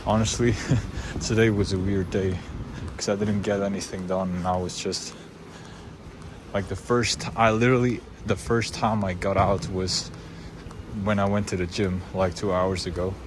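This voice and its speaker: Young Swiss man, Swiss German accent, slightly low voice, chatty vlogger, noisy car recording. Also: level tone, normal speed. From Switzerland. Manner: loose, unscripted, many fillers and restarts. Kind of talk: casual rambling monologue.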